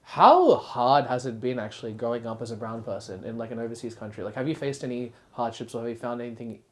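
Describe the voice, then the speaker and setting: A man's voice: mid-range voice, fast talker. Young man chatting on a podcast. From Australia. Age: young adult. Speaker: podcast co-host. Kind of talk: casual group podcast chat.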